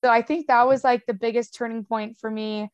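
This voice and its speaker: A woman's voice: fairly high pitch, fairly even intonation, fairly quick, clean tone, dry recording, highs cut off. A young woman talking casually as a podcast guest, with a marked stutter of repeated sounds and blocks and a frank, chatty manner full of fillers. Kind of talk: casual conversational interview.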